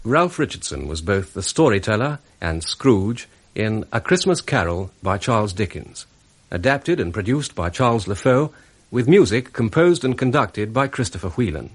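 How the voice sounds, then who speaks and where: A British male announcer reads in a formal, scripted style. His voice is lowish and husky, with lively rises and falls. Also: moderate pace. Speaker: radio announcer.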